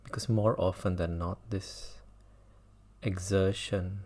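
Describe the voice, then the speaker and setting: A man leading a guided mindfulness meditation as a teacher, speaking gently with long pauses between phrases. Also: low-pitched, steady tone.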